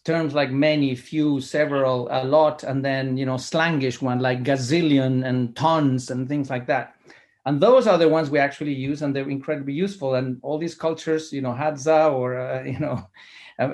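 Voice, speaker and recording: A man's voice: medium pitch, a little mellow. A man answering as a panelist, hesitant and full of fillers.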